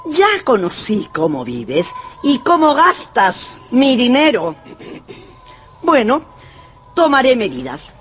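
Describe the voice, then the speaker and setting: An actress playing a sharp-tongued, rich old Russian grandmother in a radio drama, haughty, mocking and commanding. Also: fairly high pitch, wildly swooping pitch, takes it slowly, faint music behind, heavily acted. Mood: scornful, commanding.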